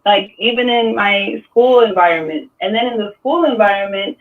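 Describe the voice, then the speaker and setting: A man in his thirties from the Dominican Republic, raised in New York City, with a New York accent, talking in a relaxed, reflective way with many fillers on an artist panel. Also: high-pitched, moderate pace. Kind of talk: casual panel discussion. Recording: tinny, distant phone-like sound.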